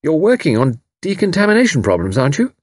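A man voicing a brisk, kindly young woman who speaks robustly and reassuringly. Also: on the high side, wildly swooping pitch, slightly rough, dry recording, studio quality, voice pushed up, exaggerated voice. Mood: earnest.

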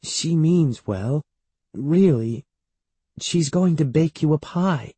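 A man plays a storybook mother talking to her son. She is coaxing and firm, reassuring in a lifted, slightly breathy voice. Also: on the high side, expressive, slow pace, warm tone, no echo, pitched above the performer's own voice, full character voice. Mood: hushed reassurance. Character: boy's mother, hushed and coaxing, sternly firm.

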